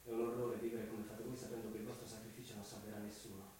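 A man's voice: fairly low pitch, level tone, fast talker, mellow, a little hiss or hum, natural voice. An actor playing an intense, tormented young man in an Italian radio drama, speaking with impassioned, theatrical insistence. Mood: anguished, furious. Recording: reverberant, distant mic, music bed.

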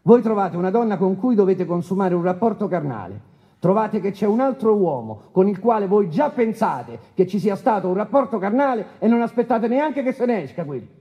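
An actor playing a blunt, sardonic judge who questions defendants insistently in a courtroom radio drama. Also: male voice, sits high, big pitch swings, a little fast. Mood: sardonic, impatient. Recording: dull lo-fi sound.

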